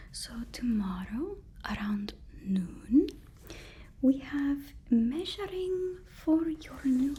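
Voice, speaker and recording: A woman whispering an ASMR roleplay as a deferential, fussy personal assistant to a supervillain, speaking haltingly and repeating her phrases. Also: high-pitched, mellow, slight background noise. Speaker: ASMR performer.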